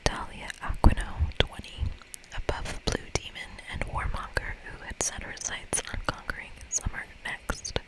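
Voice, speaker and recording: A woman's voice: unhurried, poor audio. Whispering woman, ASMR game playthrough. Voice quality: breathy, unvoiced whisper. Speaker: ASMR gaming host.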